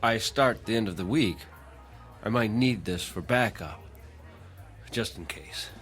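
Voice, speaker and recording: Low-voiced, eager young man. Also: lively intonation, measured pace, a bit bright, faint music behind. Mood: pleading. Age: young adult. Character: eager, harried young job seeker, uneasy and hesitant. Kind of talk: radio drama acting.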